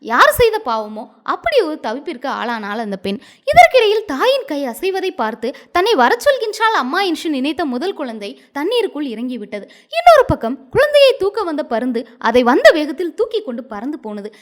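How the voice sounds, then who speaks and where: A woman storyteller narrating a scripted moral tale in a dramatic, expressive manner. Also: high-pitched, fairly quick.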